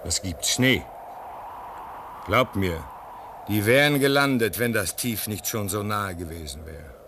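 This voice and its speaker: Rugged polar hand, swinging pitch. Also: male voice, lively intonation, measured pace, noisy background. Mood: brisk, urgent. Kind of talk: German radio play acting.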